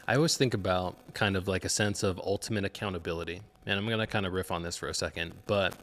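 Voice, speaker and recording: A man's voice: deep voice, fast, fairly bright tone. A man hosting a podcast, posing long, reflective interview questions in an earnest, motivational way. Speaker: podcast host.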